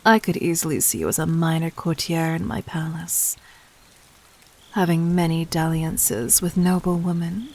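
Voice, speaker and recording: A woman playing a poised, seductive demon queen in an intimate audio drama, breathy and husky, speaking with formal, teasing condescension. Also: on the low side, darkish tone, slight background noise. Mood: charmed, condescending.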